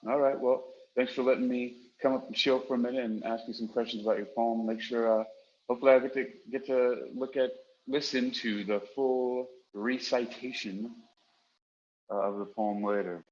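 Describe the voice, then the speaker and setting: A man's voice: medium pitch, steady tone, normal speed, a little mellow, highs cut off, slight background noise. A man chatting casually as a podcast moderator, laid-back and given to repeating himself. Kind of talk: casual chat.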